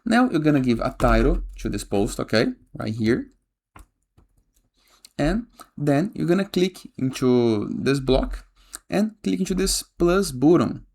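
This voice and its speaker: A man hosting a casual software tutorial voice-over, giving step-by-step instructions and often checking in with a short questioning tag. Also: a little high, very animated, unhurried. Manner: frequently tags instructions with a questioning "okay?". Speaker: tutorial host. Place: voice-over for a screen recording.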